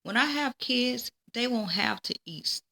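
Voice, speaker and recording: Woman voicing a high-pitched picky little girl. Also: expressive, a little slow, sharp tone, heavily acted. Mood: defiant. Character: picky, playful young girl who loves her pet duck. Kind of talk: children's storybook character voice.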